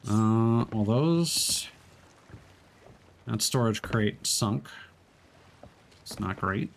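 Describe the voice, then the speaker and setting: Male streamer, lowish voice, rambling casual play-by-play, slight background noise. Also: drawn-out words, creaky. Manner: rambling, many fillers and restarts. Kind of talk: casual gameplay commentary.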